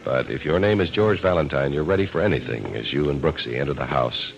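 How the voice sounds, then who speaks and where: A man announcing in 1940s radio style, reading a script with measured emphasis. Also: extremely low pitch, gravelly, noisy background. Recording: dull old radio recording.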